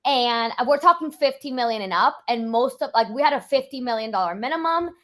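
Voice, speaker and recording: A young woman from Europe, a financial planner, chatting quickly and casually with lots of "like" fillers. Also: a little high, lively intonation, fairly bright tone. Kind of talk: casual interview chat.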